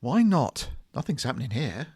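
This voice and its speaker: Man acting a wry shop worker, swooping lively pitch. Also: normal speed, husky, full character voice. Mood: bored, restless. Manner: wry, teasing. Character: chatty, teasing male shop worker, bored and restless. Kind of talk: audio drama comedy dialogue.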